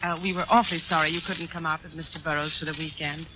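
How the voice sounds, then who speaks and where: An actress playing a polite, placating hostess in an old radio drama, with a courteous, hesitant way of speaking. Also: female voice, deep voice, little pitch movement, fast, very noisy, close to an everyday voice. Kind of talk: 1940s radio drama acting with hushed first-person narration.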